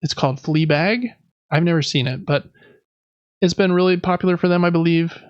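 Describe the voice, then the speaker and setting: A man's voice: on the high side, brisk, clear, resonant tone, no room sound, muffled recording. A man hosting a gaming podcast, running through news in a casual, conversational and informal way. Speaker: podcast host.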